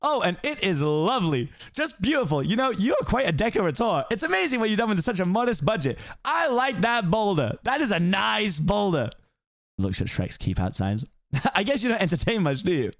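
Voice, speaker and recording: A man voicing an excitable, fast-talking male donkey who chatters nonstop. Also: high-pitched, no echo, clean studio sound, voice pushed up. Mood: gushing.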